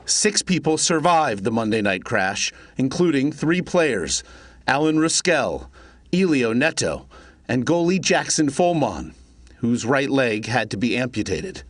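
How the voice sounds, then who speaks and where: A man narrating a scripted television news report in a measured, solemn way. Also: medium pitch, sing-song, no room sound.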